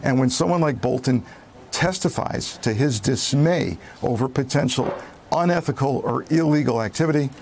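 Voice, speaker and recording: An American man giving political commentary in an emphatic, deliberate manner. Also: medium pitch, a little mellow, slight background noise. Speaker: former FBI official, news commentator.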